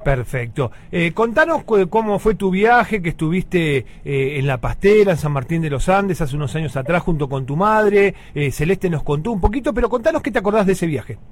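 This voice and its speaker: An Argentine man hosting a radio interview, chatty and lively, with plenty of fillers. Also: high voice, normal speed, few pauses. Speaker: radio host.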